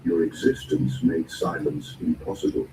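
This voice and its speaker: A man delivering a scripted spoken-word motivational narration in deliberate, measured phrases and short emphatic statements. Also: extremely high pitch, huge pitch swings. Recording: roomy, distant, clearly noisy recording.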